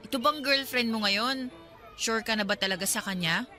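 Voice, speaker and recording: A woman's voice: a little high, moderate pace, pushed and bright, soft background music. A woman playing a friendly young woman chatting with an old childhood pal in a radio drama. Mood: curious, casual. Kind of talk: Tagalog radio drama dialogue.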